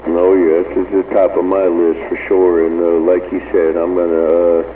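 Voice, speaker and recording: A middle-aged Southern American man with a deep voice talks casually over CB radio. He has a folksy way about him. He sounds distant and narrow-band, with faint static behind. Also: monotone, moderate pace. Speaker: amateur radio operator. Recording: tinny, distant, noisy CB radio reception.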